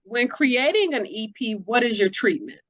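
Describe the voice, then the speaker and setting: A woman's voice: on the high side, big pitch swings, moderate pace, fairly bright tone, no echo, highs cut off. Dry-sounding encouraging woman interviewer. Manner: encouraging, slangy. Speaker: interviewer, host. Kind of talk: casual, conversational interview.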